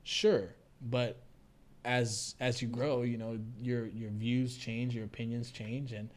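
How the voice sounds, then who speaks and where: A man's voice: medium pitch, fairly even intonation, takes it slowly. An American man co-hosting a podcast, talking casually and explaining his views in an earnest way. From United States. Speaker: podcast co-host. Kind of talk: casual conversational discussion.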